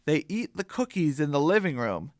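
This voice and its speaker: A man's voice: on the high side, very animated, normal speed, dry recording, studio quality. A man reading scripted narration for a comic audio story as its storyteller.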